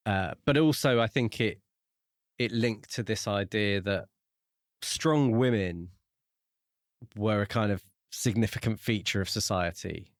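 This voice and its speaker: Low-voiced man in thoughtful discussion. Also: measured pace, no echo, clean studio sound. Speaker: podcast co-host. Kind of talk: casual book discussion.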